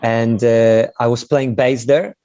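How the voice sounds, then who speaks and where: Man, relaxed musician guest. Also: medium pitch, slow pace, no echo. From Poland. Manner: relaxed, self-deprecating, many fillers. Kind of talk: casual interview chat. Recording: dry, slightly dull sound.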